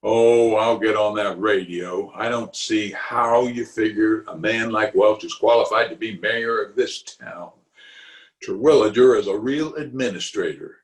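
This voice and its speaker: A man's voice: on the low side, unhurried, reverberant, natural voice, low-quality recording. A man playing a pompous, easily exasperated middle-aged official in an old-time radio comedy, recorded at home over a video call. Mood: insistent. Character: blustery, exasperated middle-aged male water commissioner, pompous and opinionated.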